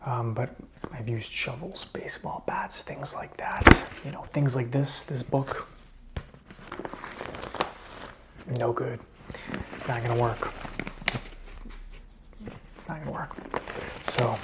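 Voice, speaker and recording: A man playing a calm, helpful survivor in a zombie apocalypse ASMR roleplay, whispering and speaking softly in a hesitant, intimate, musing way. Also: mid-range voice, slow pace, long pauses, lightly acted, rough recording. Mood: hushed, explanatory.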